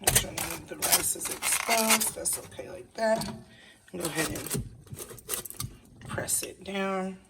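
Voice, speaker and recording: A woman's voice: on the low side, slow, pauses often. Chatty woman cooking in a noisy kitchen. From Haitian family background. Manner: chatty, thinks aloud while working. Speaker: home cook showing a recipe. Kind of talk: casual cooking demo. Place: home kitchen with running tap. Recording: background noise.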